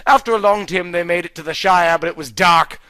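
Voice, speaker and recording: A man reading a silly comic story aloud in a deadpan, mock-naive way. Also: high-pitched, fairly quick, dry recording.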